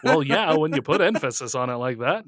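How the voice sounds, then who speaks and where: A man's voice: a little high, lively intonation, fairly quick, fairly bright tone, dry recording, clean studio sound. A man co-hosting a podcast, teasing his co-host, explaining things and laughing often. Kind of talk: casual chat.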